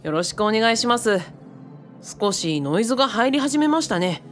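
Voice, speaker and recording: Woman playing an earnest, lively young man. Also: on the high side, moderate pace. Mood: polite, explaining. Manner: eager, expressive, polite. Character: earnest, polite, easily flustered young man. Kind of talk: audio drama acting. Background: background music.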